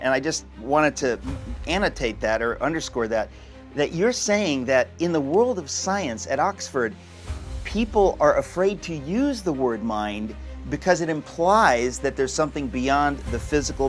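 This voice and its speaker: A man working as an interviewer in an intellectual discussion, speaking deliberately and stepping in to underscore points. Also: a little high, expressive, faint music behind.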